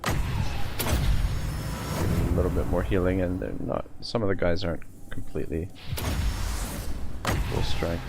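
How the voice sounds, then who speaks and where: A man's voice: low-pitched, little pitch movement, a little slow, pauses often, heavy background noise. A man from Nova Scotia, casually commenting on a live game stream, swearing often and thinking aloud with lots of fillers. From Nova Scotia, Canada.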